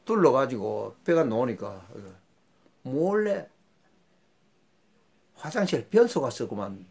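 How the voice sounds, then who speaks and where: An older man talks in a loose, rambling storytelling way. His voice sits in a middle register, and his pitch leaps up and drops sharply from phrase to phrase. He sounds like a host chatting easily about old country days. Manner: rambling, folksy, with sudden jumps in pitch. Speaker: channel host. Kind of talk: casual storytelling monologue.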